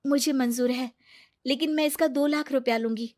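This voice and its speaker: An earnest, struggling young wife and mother from a cartoon family drama as a woman plays her. Her voice sits high, with an emotional, pleading manner and a determined core. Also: steady tone, normal speed, a little mellow, natural voice.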